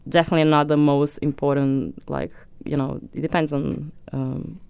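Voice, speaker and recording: A woman's voice: low voice, little pitch movement, normal speed, gravelly, a little mellow, smooth, dry recording. A young woman from Brazil, Portuguese her first language, chatting as an interview guest in a hesitant way with many fillers and restarts. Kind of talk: casual interview chat.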